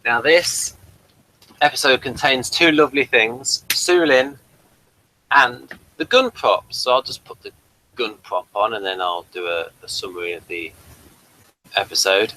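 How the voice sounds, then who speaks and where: Man, review show host, bright voice, unscripted chat, rambling, halting delivery. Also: mid-range voice, a little hiss or hum, rough recording.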